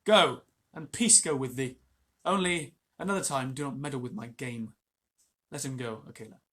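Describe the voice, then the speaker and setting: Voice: mid-range voice, normal speed, a bit bright, lightly acted. A storyteller voicing a proud jungle boy who gives firm, scornful commands.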